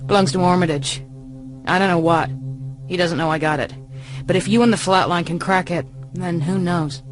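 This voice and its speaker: A woman's voice: lowish voice, normal speed, loud music behind the voice, natural voice. An actress playing a tough, cool female street mercenary with a terse manner in a radio drama. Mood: cool, wry. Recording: noisy ambience behind the voice.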